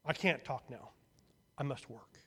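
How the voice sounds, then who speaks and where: A man voicing an earnest, hurried young man straining to work against a river current. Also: medium pitch, fairly bright tone, husky. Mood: strained earnest.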